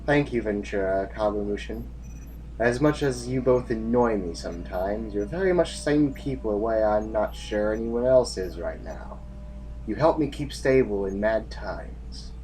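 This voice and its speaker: An actor playing a weary, commanding general in an audio drama, with an authoritative, brooding way of speaking. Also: male voice, lowish voice, expressive, normal speed, darkish tone, lightly acted, poor audio. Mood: somber. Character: weary, commanding male general facing a losing war.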